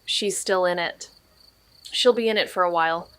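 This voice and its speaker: An actress playing a level-headed, weary young woman amateur detective in a naturalistic audio drama, with a terse, deadpan delivery. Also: fairly low pitch, normal speed, smooth, no room sound, natural voice.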